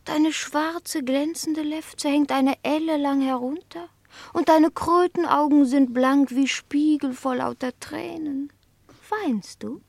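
A woman's voice: sits high, steady tone, slow, creaky, darkish tone, no echo, full character voice. A woman playing a vain, teasing, cunning house cat in a German radio play, languid, theatrical and mocking.

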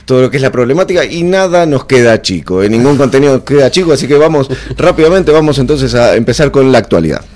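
A man's voice: medium pitch, expressive, quick pace, hardly any pauses, darkish tone. A young Argentine man with an Argentine accent, rambling and self-mocking as he hosts a comedy talk show with many fillers. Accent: Argentine Spanish. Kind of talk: casual comedic banter.

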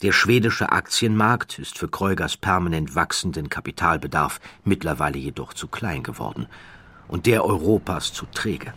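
A man narrates a scripted documentary-style story in measured, deliberate phrases with dramatic emphasis. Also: sits low, lively intonation, pushed and bright, slightly rough, dry recording.

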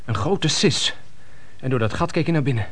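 A schoolboy in a radio play telling his story, mid-pitched voice, plain and matter of fact. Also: animated, moderate pace, fairly bright tone. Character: schoolboy son recounting strange events matter-of-factly. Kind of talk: radio drama acting and narration.

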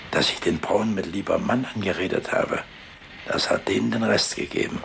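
Gravelly, sly old worker. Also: male voice, lowish voice, normal speed, fairly bright tone, slight background noise. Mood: quiet satisfaction. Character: weary, sly, stoic old working man. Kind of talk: German radio drama acting.

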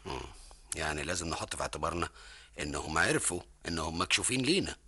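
An actor with an Egyptian accent playing a calm, authoritative intelligence chief giving instructions. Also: male voice, low voice, husky, exaggerated voice. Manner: measured, instructive.

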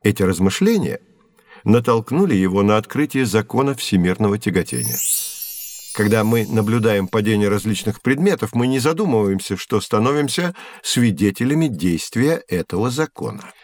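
An actor playing a patient, kindly physics professor who explains and gently corrects in a scripted educational audio drama. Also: male voice, on the low side, expressive, normal speed, dry recording, natural voice. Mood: instructive.

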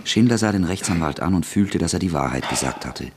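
A man narrating a radio drama in a measured, even way. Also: deep voice, crowd noise. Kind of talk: scripted narration.

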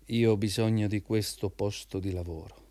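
An actor playing a defiant, needling unemployed communist jobseeker in a radio drama, insistent and goading. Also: male voice, on the low side, steady tone, normal speed, warm tone, no echo, natural voice. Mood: quietly insistent. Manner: insistent, goading, showing off knowledge.

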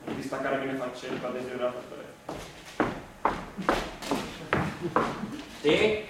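A man's voice: medium pitch, lively intonation, fast, close to an everyday voice. Echoey, distant actor as a flustered evasive man. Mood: puzzled, insistent. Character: evasive, flustered male stage performer named Augusto. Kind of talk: theatrical stage acting, naturalistic dialogue. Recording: roomy, distant, noisy stage recording.

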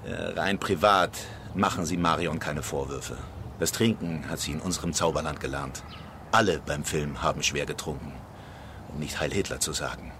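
An actor in a German radio drama playing a brash, cynical screenwriter who reports in clipped phrases. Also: male voice, a bass voice, normal speed, fairly bright tone, a little hiss or hum. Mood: subdued, confiding.

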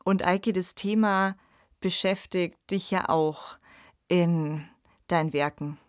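A woman hosting a podcast, speaking in a measured, thoughtful way into a close microphone. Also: lowish voice, fairly even intonation, clean tone, no echo. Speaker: podcast host. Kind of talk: podcast presentation and conversation.

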